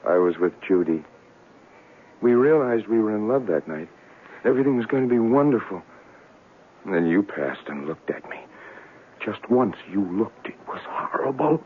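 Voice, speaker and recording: An actor playing a fragile, dreamy young artist in a 1970s radio drama, dazed, earnest and childlike. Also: male voice, fairly low pitch, expressive, normal speed, pauses often, natural voice. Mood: wistful, haunted. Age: young adult. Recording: lo-fi, noisy old radio broadcast.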